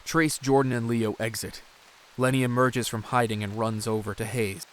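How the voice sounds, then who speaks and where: A man's voice: medium pitch, steady tone, normal speed, rough recording. A man narrating an audio drama in scripted, present-tense prose.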